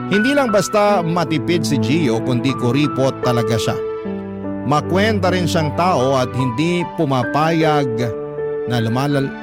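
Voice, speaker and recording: A man with a Filipino accent hosting a radio show, reading a scripted first-person story as narrator. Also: medium pitch. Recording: loud music under the narration.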